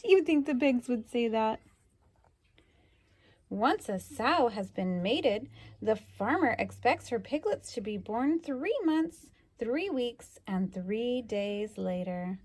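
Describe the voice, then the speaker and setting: A woman's voice: on the high side, animated, slow pace, a little mellow. A woman reading a children's book aloud expressively, adding chatty asides to young listeners. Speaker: storybook reader.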